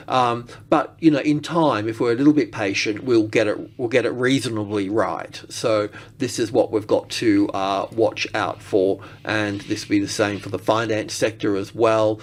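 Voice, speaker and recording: A man giving unscripted market analysis as he works through charts, rambling and halting as he thinks aloud. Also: fairly low pitch.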